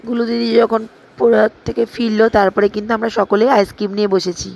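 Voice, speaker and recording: A woman's voice: medium pitch, flat delivery, fast talker, gravelly, a crowd in the background. A woman narrating a casual family vlog, chatting and explaining things to viewers. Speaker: vlogger narrating her day. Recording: faint background noise.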